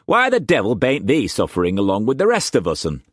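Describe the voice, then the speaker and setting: A man voicing a coarse, boisterous old male sea captain with a rough dialect. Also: lowish voice, wildly swooping pitch, fairly quick, a bit bright, no room sound, clean studio sound, voice pushed up, exaggerated voice. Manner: coarse, bellowing, dialect-heavy.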